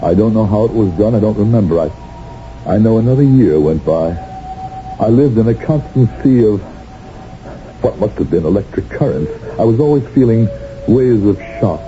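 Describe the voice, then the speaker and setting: An actor playing a haunted former secret police colonel reborn as a gentle professor, speaking in halting, deliberate phrases in a radio drama. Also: male voice, fairly low pitch, lively intonation, soft background music, lightly acted. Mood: haunted, reflective. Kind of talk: 1970s radio drama acting with narration. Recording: dull 1970s radio recording.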